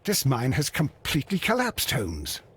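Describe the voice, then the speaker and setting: Loyal British gentleman companion for a detective game: mid-pitched, husky, earnest, courteous. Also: huge pitch swings, normal speed, exaggerated voice. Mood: observing. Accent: British English. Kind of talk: video game character acting.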